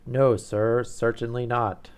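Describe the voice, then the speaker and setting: A man voicing a stately, red-bearded middle-aged duke in a loud, deep, booming voice. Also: level tone, slow pace, clear, resonant tone, close to an everyday voice. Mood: stiff, haughty.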